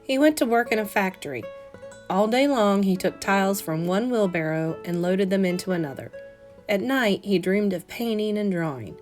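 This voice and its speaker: A woman, a teacher, reading a children's picture book aloud in an expressive, carefully phrased, dramatic manner. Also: mid-range voice, moderate pace. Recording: soft guitar music behind voice. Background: plucked guitar music.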